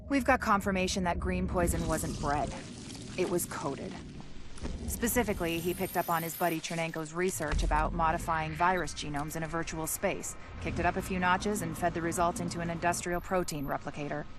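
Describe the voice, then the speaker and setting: An actress playing a blunt, analytical virus scientist in a video game, explaining clinically with dry, deadpan asides. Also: female voice, fairly low pitch, a little fast, noisy background, natural voice. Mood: clinical, matter-of-fact. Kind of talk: scripted video game briefing narration.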